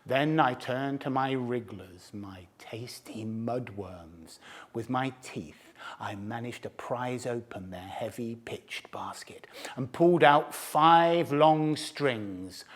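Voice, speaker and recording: A man's voice: mid-range voice, takes it slowly. A man voicing a sly, boastful male fox who tells his cubs storybook tales in a theatrical, relishing way. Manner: relishing, theatrical, savouring food words. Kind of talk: storybook narration.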